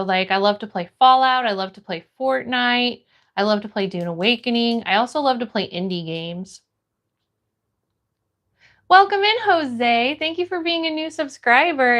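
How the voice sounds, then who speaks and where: A woman's voice: on the high side, fairly bright tone. An American woman hosting a livestream in a casual, friendly and encouraging way, chatting with viewers and reading comments aloud. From United States. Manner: friendly, encouraging, greets people by name. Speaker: livestream host.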